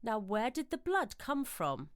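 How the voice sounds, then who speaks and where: A woman playing a bluff, jocular English gentleman host with brisk, old-fashioned phrasing, in an audio drama. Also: on the high side, sing-song, dry recording, clean studio sound, voice pushed up. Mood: puzzled, curious.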